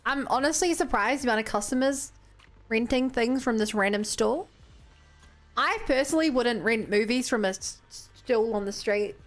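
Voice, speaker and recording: A woman's voice: a little high, normal speed, faint music behind. A woman with a New Zealand accent, a game streamer chatting casually and reading on-screen text aloud.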